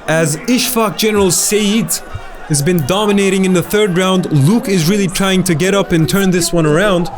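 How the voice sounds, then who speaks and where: A man commentating live on a fight, excited and repeating his exclamations. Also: sits high, moderate pace, soft background music.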